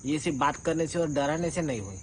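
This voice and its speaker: A man's voice: on the high side, fast, a little mellow. A man giving an informal, colloquial rebuttal in an accusatory, confrontational manner, like someone airing a grievance. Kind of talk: informal spoken rebuttal monologue. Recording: clear background noise with insect-like chirping.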